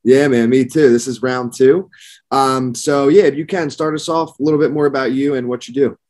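Male podcast host, mid-pitched, casual and upbeat, filler-heavy. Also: steady tone, moderate pace, a little mellow. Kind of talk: podcast interview.

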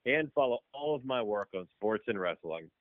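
A man's voice: lowish voice, lively intonation, moderate pace, no room sound. A man who is a sports journalist, speaking briefly and plainly as a guest on a podcast. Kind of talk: casual podcast chat. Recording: phone-like call audio, dry.

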